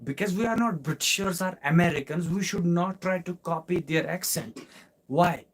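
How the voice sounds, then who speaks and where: A man's voice: high-pitched, normal speed. Kashmiri-accented man teaching English live. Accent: Kashmiri Indian English. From Kashmir, India. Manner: repetitive, instructive, asks listeners rhetorical questions. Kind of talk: live online English class answering questions.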